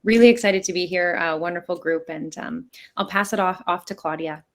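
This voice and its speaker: A woman's voice: fairly low pitch, a little fast. A woman from Canada introducing herself as a panelist on a webinar over a video call, upbeat and professional.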